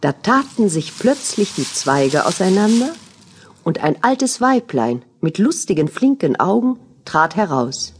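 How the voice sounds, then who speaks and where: A woman's voice: mid-range voice, very animated, measured pace, slightly rough. A woman reading scripted fairy-tale narration as the storyteller of an audio play.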